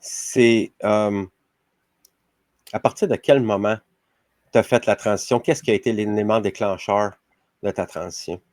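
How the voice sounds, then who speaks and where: A man's voice: fairly low pitch, steady tone, unhurried, pauses often, darkish tone, no room sound. A man with a Québécois French accent, hosting a podcast interview, rambling with many fillers. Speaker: podcast host. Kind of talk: casual interview.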